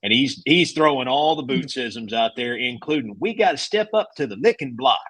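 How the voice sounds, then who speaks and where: A man's voice: mid-range voice, expressive, moderate pace, a bit bright, no room sound, low-quality recording. A man speaking as a broadcaster guest in casual sports talk, with a folksy, anecdotal manner. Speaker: radio play-by-play broadcaster, guest.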